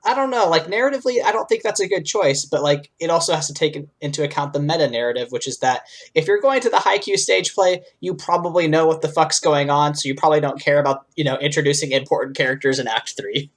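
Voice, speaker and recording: A man's voice: on the high side, fast, few pauses. A man co-hosting a podcast, giving informal, discursive commentary full of asides in a conversational way. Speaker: podcast co-host.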